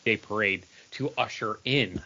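An American man talks in a bright, forceful voice through slightly muffled, lo-fi audio. He is a podcast co-host chatting casually. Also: fairly low pitch, unhurried. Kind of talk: casual chat. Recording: dull lo-fi voice recording.

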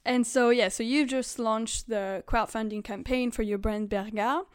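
Higher-pitched woman hosting a podcast. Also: steady tone, measured pace, clear, resonant tone. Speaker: podcast host and interviewer. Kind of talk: conversational podcast interview.

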